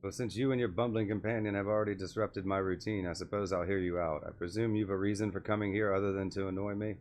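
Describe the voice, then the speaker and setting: Man reading as a crabby, low-voiced old sorceress. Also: little pitch movement, quick pace, a little mellow, slight background noise, natural voice. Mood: sardonic, ominous. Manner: dry, haughty, wordy. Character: crabby, intimidating old female sorcerer, dry and sardonic.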